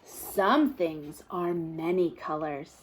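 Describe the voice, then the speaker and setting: A woman's voice: deep voice, slow pace, a little hiss or hum, low-quality recording. A woman teacher reading a picture book aloud to toddlers, asking encouraging questions in a sing-song, exaggerated way.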